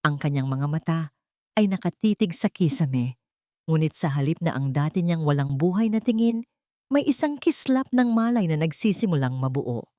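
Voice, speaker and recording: A woman's voice: sits low, animated, moderate pace, dark tone, dry recording, muffled recording. A woman narrates a scripted dramatic story in a solemn, theatrical manner. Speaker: audiobook narrator.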